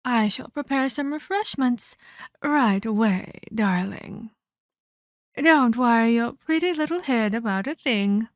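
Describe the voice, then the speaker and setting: A woman's voice: a little high, animated, no room sound, studio quality. A woman voicing a menacing female vampire captor in a scripted ASMR roleplay, theatrical and mockingly sweet as she scolds and condescends. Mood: mock-sweet menace. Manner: theatrical, mock-polite, slow deliberate scolding.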